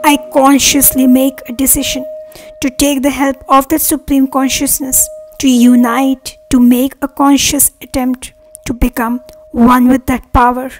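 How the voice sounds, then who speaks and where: A woman leading a guided meditation with affirmations, speaking earnestly in measured, separate phrases. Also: sits high, level tone. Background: meditation music.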